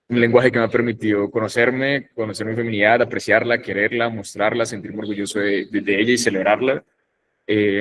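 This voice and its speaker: A young man from northern Colombia with a Colombian accent, speaking reflectively and haltingly on a discussion panel, with many pauses and restarts. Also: on the low side, fairly bright tone. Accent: Colombian Spanish.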